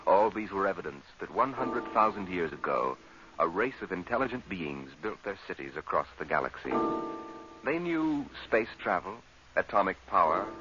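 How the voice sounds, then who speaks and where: Deep, dramatic radio narrator over music. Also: male voice. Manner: dramatic, measured, solemn delivery. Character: grave, portentous male science fiction narrator. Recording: muffled vintage radio recording.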